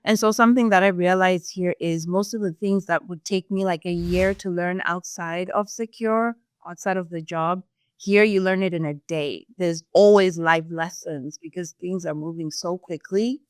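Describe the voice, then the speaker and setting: A young woman from Zimbabwe, a sales employee answering interview questions in a thoughtful, measured way, with some fillers. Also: fairly low pitch, steady tone, clean tone, no room sound, clean studio sound.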